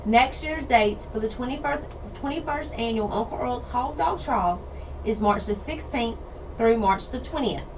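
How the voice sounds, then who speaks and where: A woman co-hosting a radio outdoors show in casual chat, slightly hoarse from losing her voice. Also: on the high side, expressive, moderate pace. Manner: chatty, laughs easily, repeats details. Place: radio studio. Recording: distant mic with background noise.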